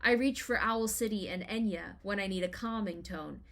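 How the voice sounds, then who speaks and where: A woman in her thirties with an American accent, reading a scripted first-person essay in an expressive, wry storytelling manner. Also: mid-range voice, moderate pace, creaky. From Los Angeles, United States. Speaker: writer reading their own personal essay.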